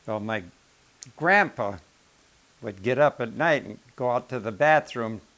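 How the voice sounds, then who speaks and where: Old midwest grandpa rambling thru farm stories, plain folksy talk, lots of restarts. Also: male voice, medium pitch, fairly bright tone. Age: older. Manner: plain-spoken, folksy, rambling with self-corrections. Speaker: grandfather telling family stories. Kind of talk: casual family storytelling.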